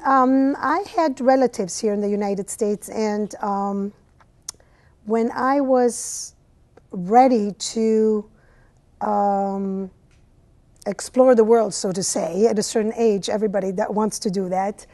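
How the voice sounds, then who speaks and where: A woman's voice: mid-range voice, takes it slowly, warm tone. A woman from Italy with an Italian accent, a language teacher chatting as an interview guest, with mm-hmms as she tells stories. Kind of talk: casual interview conversation. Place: cable TV studio.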